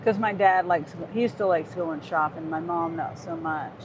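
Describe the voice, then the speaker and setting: A woman's voice: on the low side, normal speed, noisy background. A woman in her fifties, a gig delivery driver, chatting casually on a livestream and reading out viewer comments in a rambling way. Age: 50s. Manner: chatty and rambling, with frequent restarts.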